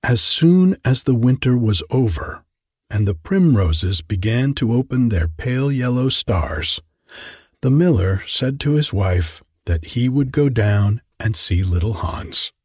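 A man reading aloud and voicing a small, gentle linnet telling a story. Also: medium pitch, animated, a little slow, breathy edge, no echo, clean studio sound. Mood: earnest. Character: small patient songbird, a storyteller.

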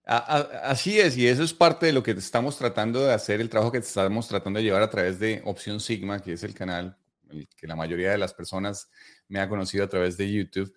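Interview guest: middle-aged Colombian man, Bogotá accent, lower register, measured and explanatory. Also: steady tone. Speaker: interview guest, investing educator. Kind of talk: conversational interview answers.

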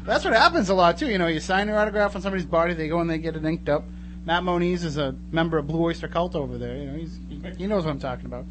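Chatty male radio host, higher-pitched. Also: rapid-fire. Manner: joking, chatty. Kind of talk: casual interview banter. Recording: noticeable hum and background noise.

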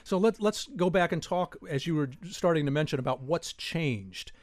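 A man hosting a public radio talk show from a studio, speaking in a measured, clearly enunciated way. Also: fairly high pitch, lively intonation, dry recording. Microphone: studio microphone.

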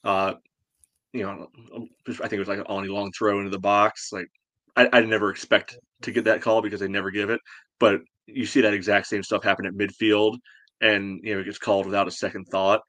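A man's voice: on the low side, flat delivery, a little fast, a bit bright, dry recording. A man co-hosting a sports podcast, casually discussing a match in a blunt, colloquial way.

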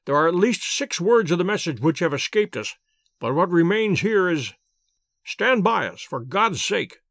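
A man reading an audiobook, voicing a calm male detective who explains his reasoning in a measured, precise, lecturing way. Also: high voice, no room sound, studio quality, exaggerated voice. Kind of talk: audiobook character voice.

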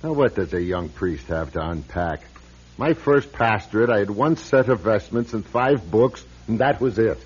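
An actor playing a blunt, weary old priest in a radio drama, husky and sardonic, on a muffled recording. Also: male voice, lowish voice, animated, moderate pace. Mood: subdued. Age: older. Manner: gruff, sardonic, plain-spoken. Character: blunt, weary, grumbling old Irish-American parish priest.

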